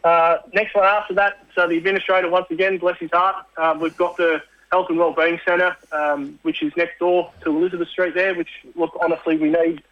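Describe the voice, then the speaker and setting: A man's voice: on the high side, flat delivery, brisk, few pauses, dry recording, dull audio. A man with a blokey, rambling way of talking, giving an organiser's report on casual radio. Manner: blokey, rambling, many fillers.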